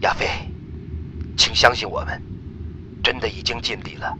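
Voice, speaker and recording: A man's voice: fairly low pitch, normal speed, bright, forceful tone, slight background noise. A man playing an earnest, burdened space mission leader, pleading solemnly in a radio drama voice message.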